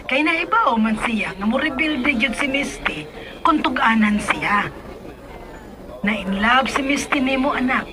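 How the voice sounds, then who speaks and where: A woman playing a caring, cautious mother gently advising her grown son in a radio drama. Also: fairly high pitch. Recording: noisy background ambience.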